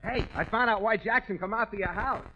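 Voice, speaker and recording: High-pitched scheming pal. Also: male voice, a little fast, pushed and bright. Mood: eager. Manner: slangy, conspiratorial. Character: excited, scheming male pal egging on his friend. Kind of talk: 1940s radio sitcom acting. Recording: dull, noisy 1940s radio recording.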